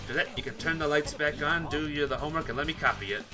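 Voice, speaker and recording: A man's voice: fairly high pitch, quick pace, natural voice. A man voicing a boisterous, bossy teenage boy roommate in a visual novel, blunt and commanding. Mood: bossy, urgent. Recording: music behind the voice.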